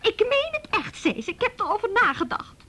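High voiced runaway girl in a radio play, wry n hurt, halting, noisy recording. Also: big pitch swings, sharp tone, full character voice. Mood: indignant. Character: hurt, defiant runaway girl, daughter of a jailed mother. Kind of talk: naturalistic Dutch radio drama acting.

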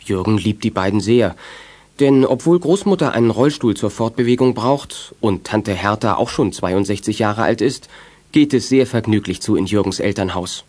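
A man's voice: lowish voice, animated, moderate pace, a little mellow. A man narrating a children's audio drama as a scripted storyteller.

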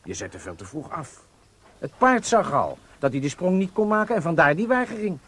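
Commanding, high-pitched, animated riding master. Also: male voice, normal speed, slightly rough, heavily acted. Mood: firm, insistent. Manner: commanding, insistent, encouraging. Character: strict, confident male riding instructor and undercover agent. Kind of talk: Dutch radio drama acting.

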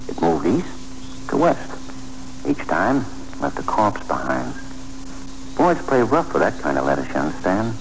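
An actor playing a shrewd, folksy police detective with wry, slangy talk in a 1940s radio drama. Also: male voice, on the low side. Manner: slangy, wry, clipped phrasing. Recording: humming, noisy 1940s radio recording.

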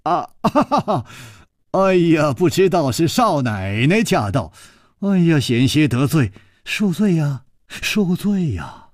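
A man's voice: fairly high pitch, very animated, slow pace, a little mellow, dry recording, clean studio sound, pitched above the performer's own voice, heavily acted. A man voicing a witty male swordsman hero who laughs, sighs and banters. He speaks his lines in a raised, lighter voice, playful and wry. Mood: jovial teasing.

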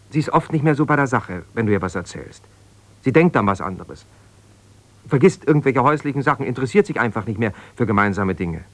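Low-voiced, aggrieved husband, played by an actor. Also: male voice, no room sound, lightly acted. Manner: halting, colloquial, self-justifying. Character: aggrieved, jealous husband, an ambitious lawyer. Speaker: radio drama actor. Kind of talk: naturalistic radio drama, one side of a phone conversation.

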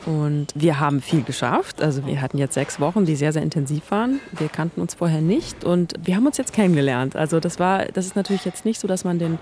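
A woman's voice: deep voice, fast, few pauses, darkish tone. A woman gives a casual, explanatory interview, restarting her sentences now and then. Faint ambient noise sits behind her voice. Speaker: theatre performer being interviewed.

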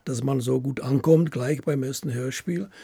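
A man with a husky, gravelly voice giving interview recollections as an author, haltingly, often ending phrases with tag questions. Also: mid-range voice, mellow, no room sound. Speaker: author of radio plays, guest.

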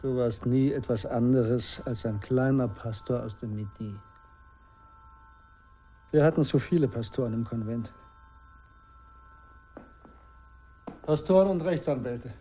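An actor playing a cutting, sardonic former revolutionary in a German radio drama, dry, measured and needling in his rhetoric. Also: mid-range voice, warm tone, soft background music, natural voice. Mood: scornful.

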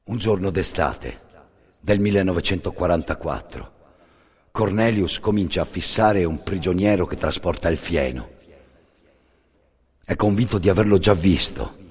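A man performing scripted dramatic storytelling as a solo narrator, grave and deliberate, in short phrases with pauses. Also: low voice, a little mellow, breathy edge. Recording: long reverberant hall.

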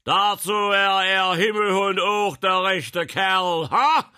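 A man voicing a commanding, scornful prince disguised as a vagabond in a storybook, barking in a powerful, booming voice. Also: takes it slowly, bright, forceful tone, smooth, full character voice.

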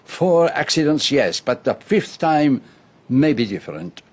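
A man acting in a radio drama as a courteous, grave foreign detective with a French accent, speaking in a formal, deliberate and theatrical way. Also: a little high, sing-song, husky, exaggerated voice. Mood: grave, didactic.

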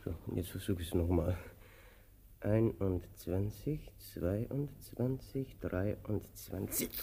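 Husky, wildly swinging grumpy tramp. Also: male voice, lowish voice, warm tone, full character voice. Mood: tired, resigned. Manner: grumbling, terse, swinging from groans to outbursts. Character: weary, grumpy tramp, sullen and childlike. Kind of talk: German radio play acting.

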